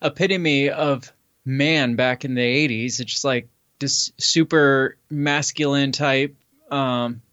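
A man's voice: medium pitch, fairly even intonation, takes it slowly, a bit bright, dry recording, lo-fi sound. A man co-hosting a podcast, trading dry sarcastic quips with his partner. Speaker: podcast co-host. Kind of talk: casual sarcastic banter.

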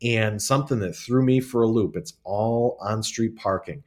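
A man's voice: fairly low pitch, normal speed. A young man from northern New York State, a law school faculty member, chatting as a podcast guest with fillers and small laughs. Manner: friendly, rambling, with fillers and chuckles. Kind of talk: casual interview answers.

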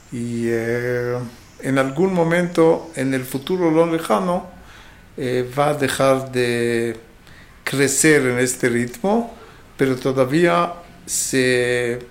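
Slow-spoken Israeli-accented man, halting. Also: mid-range voice. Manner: halting, searching for words in a second language. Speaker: interview guest, fruit export marketing specialist. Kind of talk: conversational interview answers.

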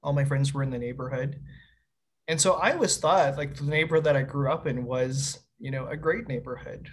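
A man's voice: mid-range voice, fairly quick. A young man from Winnipeg co-hosting a casual conversation, upbeat and encouraging. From Winnipeg, Canada. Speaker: co-host. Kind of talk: casual conversation over video call.

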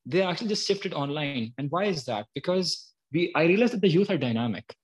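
A man's voice: on the high side, animated, brisk, slightly rough. A man, talking earnestly and explaining his views as an activist guest in an interview. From Pakistan. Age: early 20s. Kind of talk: spontaneous interview talk.

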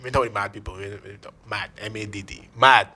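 A man's voice: on the low side, fairly even intonation, fast, sharp tone. A man with a Bajan accent from Barbados, hosting a music countdown with upbeat hype. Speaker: radio co-host. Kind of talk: casual countdown chat.

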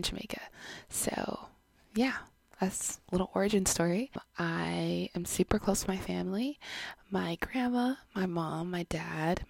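Giggly young woman with a gravelly, husky voice. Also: lowish voice, a little mellow, no room sound. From Canada. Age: 20s. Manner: chatty, giggly, self-deprecating. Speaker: podcast host. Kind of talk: casual chat. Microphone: own microphone.

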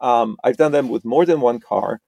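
A middle-aged man from Romania, a podcast guest, talking in a halting way with many restarts and repeated words. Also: mid-range voice, creaky, dark tone, dry recording, dull audio. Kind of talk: conversational interview.

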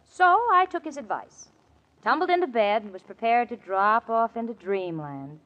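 An actress playing a sensible, exasperated young roommate in a 1940s radio comedy, with a wry, theatrical delivery. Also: female voice, on the high side, lively intonation, moderate pace, natural voice. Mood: wry narrating. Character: exasperated young woman roommate, sensible, sarcastic, long-suffering. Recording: muffled, noisy old radio broadcast recording. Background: studio audience, hiss.

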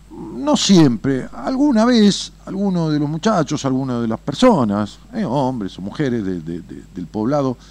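Expressive storyteller host. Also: male voice, mid-range voice, creaky, a little hiss or hum. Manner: dramatic, whispers and swells for effect. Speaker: radio host. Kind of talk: storytelling and chatting with a caller.

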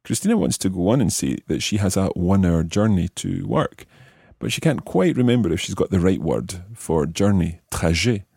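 A man hosting a language lesson, explaining in a deliberate way and repeating phrases word by word. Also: deep voice, animated, creaky, mellow, breathy edge, dry recording, studio quality. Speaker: language teacher and podcast host.

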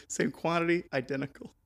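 A man co-hosting a podcast in casual comedic banter. His voice is gravelly and bright, set a touch high, and he keeps a straight face through the joke. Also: moderate pace. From American South. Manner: deadpan, plays along with the bit. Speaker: podcast co-host.

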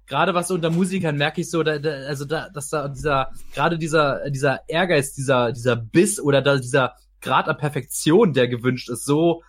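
Husky, higher-voiced man, stammering. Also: fairly quick, hardly any pauses. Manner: stammering, repeats words mid-sentence. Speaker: podcast host, illustrator. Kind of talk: casual group podcast chat.

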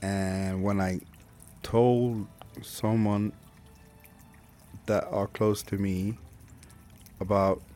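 Thirties male podcast host, Swedish accent, deep and slow, reflective and frank. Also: little pitch movement, dark tone, soft background music. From Sweden. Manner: candid, reflective, swears casually. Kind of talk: personal monologue podcast.

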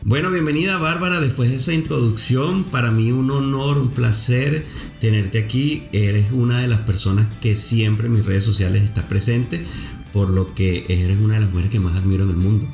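A talkative male podcast host with a low voice and an effusive manner. He explains his points at length. His voice sits in an echoey room with faint music underneath. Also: moderate pace, vocal fry, a little mellow. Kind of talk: podcast interview.

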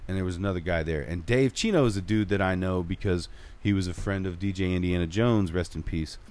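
A man's voice: sits low, fairly quick. A man telling a story in casual podcast chat, acting out the people he quotes. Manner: animated storyteller, acts out quoted voices. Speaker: podcast guest.

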